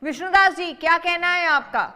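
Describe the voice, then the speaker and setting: A woman moderates a TV news debate, pressing her panelists and cutting them off when they drift. Her voice sits very high and rings bright and sharp. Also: normal speed. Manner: firm, interrupting panelists.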